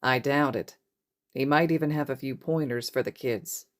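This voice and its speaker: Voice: mid-range voice, normal speed, natural voice. A narrator voicing a stern, matter-of-fact man, a strict teacher in an audiobook story. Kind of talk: audiobook character voice.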